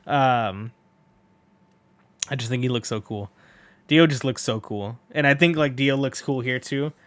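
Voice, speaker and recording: A man's voice: mid-range voice, a little slow, fairly bright tone, dry recording. A man hosting a read-along, chatting casually and enthusiastically to viewers in a rambling, informal way. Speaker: video host and read-along performer.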